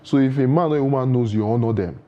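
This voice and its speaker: A man's voice: mid-range voice, fast, highs cut off. A man speaking as a guest in a studio interview, giving earnest advice full of proverbs, with frequent restarts. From Nigeria.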